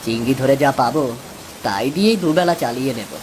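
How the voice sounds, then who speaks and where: A man's voice: mid-range voice, expressive, quick pace, background noise, close to an everyday voice. A man playing a patient, pious village father and poor farmer in a cartoon, with a homely manner. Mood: reassuring.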